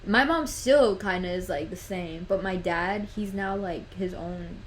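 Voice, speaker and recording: A woman's voice: on the low side, unhurried, a little hiss or hum. Chatty young woman, full of "like"s. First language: Spanish. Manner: rambling, fillers of "like" and "literally". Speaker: podcast host. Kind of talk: casual podcast chat.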